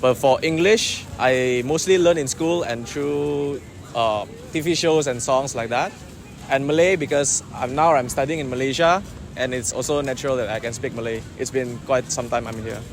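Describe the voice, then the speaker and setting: A man's voice: mid-range voice, normal speed. Brief: man from Indonesia, casual answers, faint traffic behind. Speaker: student. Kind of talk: casual street interview answers.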